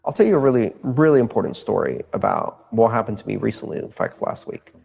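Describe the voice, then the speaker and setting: A man's voice: fairly low pitch, expressive, fast talker, vocal fry, a little mellow, slightly rough, soft background music. A man who writes and speaks publicly, giving an argumentative talk and building his points by listing them.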